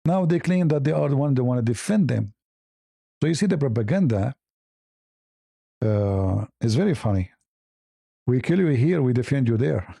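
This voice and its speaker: A man's voice: medium pitch, expressive, moderate pace, gravelly, pauses often, mellow, husky, dry recording. A man hosting a live news commentary stream, blunt and opinionated, rambling with hesitant fillers and speaking English as a second language. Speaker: host.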